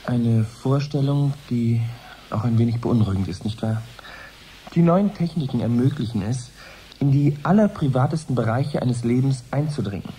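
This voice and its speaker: Ein Schauspieler spielt in einem Hörspiel einen höflichen, skeptisch nachfragenden Interviewer, der förmlich spricht. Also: male voice, medium pitch, animated, moderate pace, dark tone, a little hiss or hum, lightly acted, low-quality recording. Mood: probing. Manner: formal, sceptical questioning. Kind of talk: radio drama interview.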